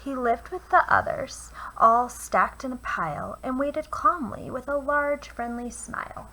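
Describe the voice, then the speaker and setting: A woman reads a picture book aloud to young children in a fairly high, bright voice. Her phrasing is expressive and deliberate. The home recording carries faint room noise. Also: low-quality recording. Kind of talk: children's storybook read-aloud.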